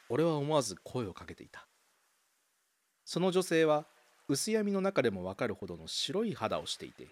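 A man reading scripted first-person narration for a radio drama, with dramatic, suspenseful storytelling. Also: mid-range voice.

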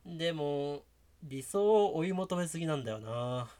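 A man's voice: fairly high pitch, animated, normal speed, mellow, close to an everyday voice. A man playing a brash, mocking young friend in an amateur comic radio drama. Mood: mocking, amused.

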